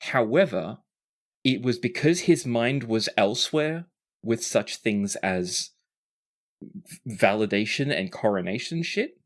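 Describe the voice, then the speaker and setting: Casual game master, mid-pitched voice, sweary. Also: lively intonation, slow pace. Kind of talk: narrating a tabletop role-playing game.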